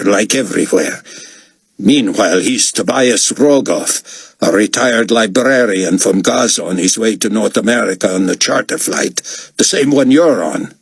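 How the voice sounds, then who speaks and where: A man voicing a calm male church leader who speaks in a measured, reassuring way. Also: lowish voice, vocal fry, breathy edge, dry recording, pitched above the performer's own voice, full character voice.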